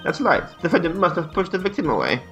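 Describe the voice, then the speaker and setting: A man's voice: sits high, big pitch swings, quick pace, fairly bright tone, highs cut off, soft background music. A man voicing a haughty young female prosecutor with a scornful, condescending delivery.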